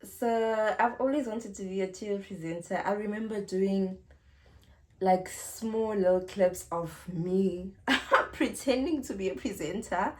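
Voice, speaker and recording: A woman's voice: medium pitch, a little mellow. A young South African woman in her twenties, chatting casually to camera and answering viewer questions with frequent giggles. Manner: chatty and giggly, drawn-out pauses. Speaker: YouTuber. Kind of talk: casual Q&A vlog.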